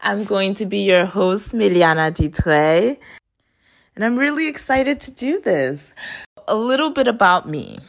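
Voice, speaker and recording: A woman's voice: medium pitch, lively intonation, a little slow, gravelly. A young woman from Haiti hosting her own podcast, chatting casually in a self-deprecating way. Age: young adult. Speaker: podcast host. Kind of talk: casual solo podcast monologue.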